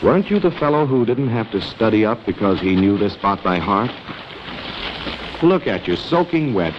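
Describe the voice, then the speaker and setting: A man's voice: on the low side, noisy background. A man narrating a 1940s training film in a sardonic, clipped scripted style. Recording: muffled vintage film with music.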